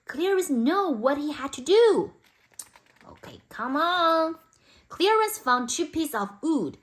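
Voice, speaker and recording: A woman reading a storybook aloud expressively for toddlers, in simple deliberate phrases. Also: a little high, fairly bright tone. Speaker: storybook reader.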